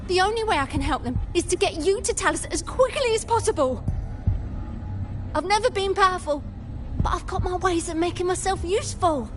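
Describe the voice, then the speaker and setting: Tiny, very high-pitched earnest girl. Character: small, timid but earnest, loyal helper girl. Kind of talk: video game voice acting.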